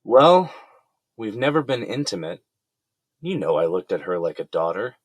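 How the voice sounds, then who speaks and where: A man's voice: fairly low pitch, very animated, moderate pace, husky, no room sound. A man playing a weary middle-aged defendant who explains himself in an earnest, conversational way in an audio drama. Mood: earnest, defensive.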